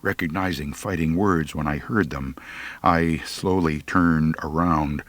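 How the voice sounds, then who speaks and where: A man's voice: sits low, dry recording. A man narrating a humorous first-person story as an audiobook reader, with a dry, theatrical, mock-pompous delivery.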